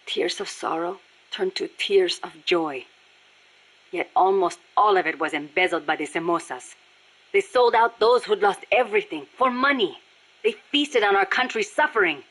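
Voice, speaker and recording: A woman's voice: mid-range voice, animated, normal speed, fairly bright tone, poor audio. A woman voicing a passionate, embittered Nicaraguan Sandinista guerrilla with a Spanish accent in a video game, speaking in a solemn, earnest way. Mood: bitter, resolute. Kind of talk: scripted video game voice acting.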